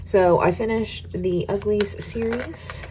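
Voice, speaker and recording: A young woman with a hoarse voice, recovering from an illness, chatting casually in a reading wrap-up vlog and counting dice rolls aloud at home at night. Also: mid-range voice, fairly even intonation, takes it slowly, very noisy. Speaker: book vlogger.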